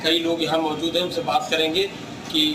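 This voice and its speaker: Man, field reporter, high pitch, echoey sound, news piece delivery. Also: level tone, fairly quick, noisy background. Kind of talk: recorded news report to camera. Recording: echoey, loud music behind.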